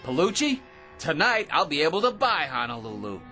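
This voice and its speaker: Excitable, high-voiced boastful barfly. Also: male voice, brisk, loud music behind the voice. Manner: wheedling, slangy, excitable chatter. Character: boastful, excitable, talkative male barfly with a magic gizmo. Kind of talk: old-time radio drama acting.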